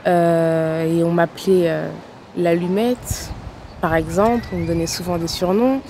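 A young adult woman from Paris, giving a slow, reflective personal testimony in an outdoor garden. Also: lowish voice, fairly even intonation, dark tone, slight background noise. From Paris, France. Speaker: patient interviewee.

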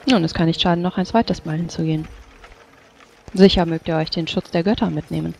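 A woman's voice: on the low side, fairly even intonation, fairly quick, vocal fry, a little mellow, slight background noise. A woman role-playing at a tabletop game in polite, formal in-character speech. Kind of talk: in-character role-play dialogue.

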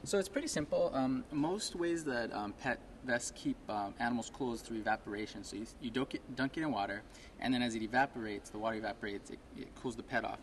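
A man's voice: mid-range voice, slight background noise. A man in his thirties, a product inventor, answering interview questions in an eager, um-filled way into a reporter's handheld mic in an expo hall. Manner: quick, eager explaining, many ums. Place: large indoor expo hall.

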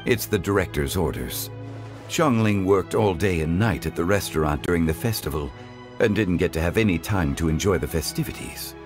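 A man voicing a calm, dignified male scholar in a game, speaking in a measured, formal way. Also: low voice, soft background music. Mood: composed.

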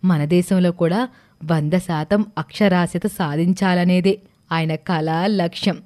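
Grave, kindly schoolmaster's widow for a cartoon, played by a woman: lowish, measured, earnest. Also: a little mellow, natural voice. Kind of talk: cartoon story voice acting.

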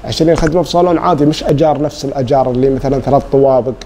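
A man with an Emirati Gulf accent gives casual, unscripted answers in an interview. His voice is dark and relaxed at a middle pitch, but he talks fast. He is plain-spoken and often repeats a phrase, with faint noise behind him. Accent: Emirati Gulf Arabic. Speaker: salon owner, interview guest.

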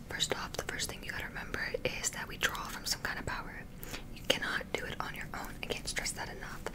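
A young woman whispering an ASMR roleplay as a witch teacher, gently encouraging and instructional, close to the microphone. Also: poor audio. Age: young adult. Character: friendly witch teaching spells and potions to a beginner.

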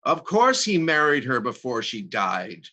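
This is a man acting out a wealthy, worldly divorced woman in a stage monologue. Her delivery is caustic and sardonic, leaning hard on choice words. The tone is bright and the pitch swings widely. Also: very animated, lo-fi sound, exaggerated voice. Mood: mocking. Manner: sardonic, profane, drawn-out emphasis. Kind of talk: theatrical dramatic monologue reading.

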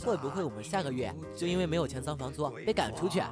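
A man's voice: fairly high pitch, expressive, quick pace, natural voice. A man acting a nagging young man over music. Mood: scolding. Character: nagging, thrifty, domestic young man, a foodie. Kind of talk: audio drama acting. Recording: loud background music.

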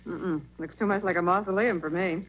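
An actress playing a perky, curious detective's assistant in a 1940s radio drama. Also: female voice, fairly low pitch, fairly quick, close to an everyday voice. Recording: very noisy, tinny 1940s radio recording.

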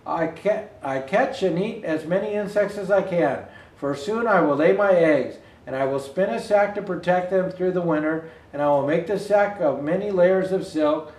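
An older man with a high-pitched voice reads a children's picture book aloud. He phrases things expressively and deliberately, stumbling now and then. The room adds a noticeable echo around his words. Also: a little mellow, recorded from a distance. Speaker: Santa Claus storyteller. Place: indoor room with Christmas decor. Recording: roomy indoor echo.

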